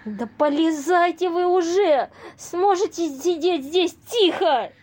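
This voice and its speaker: A woman plays a kind-hearted single woman at her wits' end in an audio drama. Her voice is high and her delivery unhurried. She sounds earnest, fretful and easily exasperated. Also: darkish tone. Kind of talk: amateur audio play acting.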